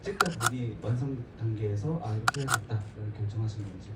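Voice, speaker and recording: A man's voice: lowish voice, quick pace. A man moderating a film screening Q&A, brief and polite. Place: cinema auditorium. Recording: distant, echoey, noisy hall.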